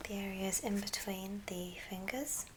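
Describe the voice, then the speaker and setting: A woman whispers an unhurried, step-by-step craft tutorial in a breathy ASMR style, as the host demonstrating it. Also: mid-range voice. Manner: unhurried, murmured step-by-step narration. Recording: hissy background noise with small clicks.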